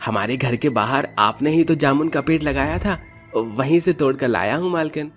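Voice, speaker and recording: A man's voice: mid-range voice, normal speed, faint music behind, lightly acted. A man voicing a loyal, humble household servant in a deferential, pleading manner in a family story. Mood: respectful, caring.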